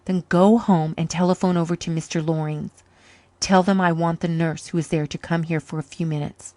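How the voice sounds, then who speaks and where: A woman's voice: fairly low pitch, fairly even intonation, brisk, dark tone, dry recording, natural voice. A woman reading as a grave, stern doctor. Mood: urgent. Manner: earnest, stern. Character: grave doctor uncle, a grown man, stern but tender.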